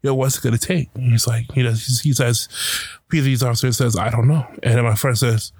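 A man telling a personal story about a protest in a casual, halting way, with many fillers and restarts. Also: mid-range voice, fairly even intonation, husky, dry recording. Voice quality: loud voice.

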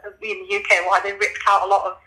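A man's voice: high voice, monotone, rapid-fire, background noise. A man from Leeds with a Leeds accent, a tofu business owner chatting casually in an interview, repeating words and restarting often. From Leeds, England.

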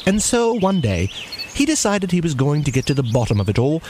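A man's voice: fairly high pitch, sing-song, brisk, noisy background. A man playing a genteel storyteller, narrating a children's story in a theatrical, whimsical manner.

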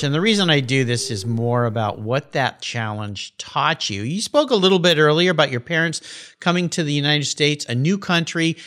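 Lively upbeat male podcast host. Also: medium pitch. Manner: upbeat, enthusiastic, lively delivery. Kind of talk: interview and read sponsor ads.

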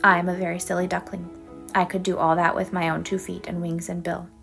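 A woman's voice: on the low side, little pitch movement, brisk, vocal fry, faint music behind. Woman doing a silly dreamy boy duckling. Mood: wistful. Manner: eager, wishful.

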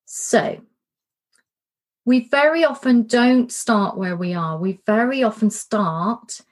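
A middle-aged woman talks through a workshop in a relaxed, candid way, often repeating words for emphasis. Her voice sounds a little worn, as if she's starting to lose it. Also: fairly high pitch, takes it slowly. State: slightly losing her voice. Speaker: coach and podcast host. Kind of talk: casual spoken workshop talk.

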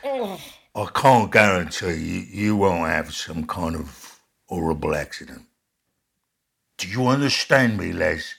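An actor in an audio story playing a huge male thug with a slow, deliberate, mock-friendly menace and a gravelly, husky voice. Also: low voice, big pitch swings, a bit bright, exaggerated voice. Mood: threatening. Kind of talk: dramatised audio story dialogue.

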